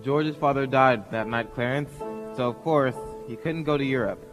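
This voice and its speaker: Measured radio-play narrator a male actor, mid-pitched, storytelling over clear music in a reverberant hall. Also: close to an everyday voice. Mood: solemn. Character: storytelling narrator addressing an angel.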